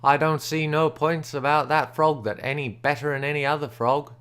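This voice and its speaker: A man doing a sly, deliberate stranger. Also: on the high side, fairly even intonation, fairly bright tone, clear, resonant tone, close to an everyday voice. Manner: slow, deliberate, backwoods grammar.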